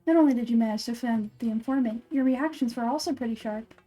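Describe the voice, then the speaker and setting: A woman's voice: fairly high pitch, fast, warm tone, soft background music, lightly acted. A woman voicing a shrewd, cocky female merchant in a video game, with sly, boastful sales patter. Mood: cocky, probing.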